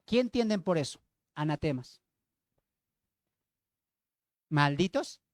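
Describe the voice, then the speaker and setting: High-pitched Bolivian man preaching. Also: lively intonation, slow, no room sound, studio quality. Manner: emphatic, repeats phrases, asks rhetorical questions. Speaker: evangelical preacher. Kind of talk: sermon with Bible teaching.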